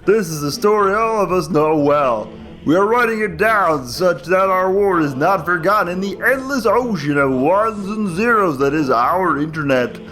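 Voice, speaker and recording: A man's voice: high voice, expressive, normal speed, a bit bright, poor audio. A man giving a dramatic reading of a manifesto over choral music. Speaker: reader. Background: dramatic orchestral choral music.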